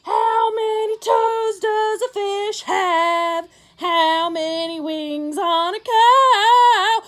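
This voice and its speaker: A woman voicing an odd, hearty, carefree male penguin with blaring, drawn-out exclamations in a children's picture book. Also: very high voice, a bit bright, faint music behind, voice pushed up, full character voice. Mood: loud, exuberant.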